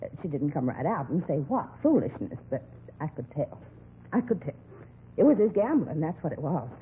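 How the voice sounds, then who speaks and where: A man's voice: on the high side, sing-song, normal speed, phone-quality sound. An actor playing a nosy, gossipy older woman who rambles confidingly, in a 1950s radio drama style. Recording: thin, noisy vintage radio recording.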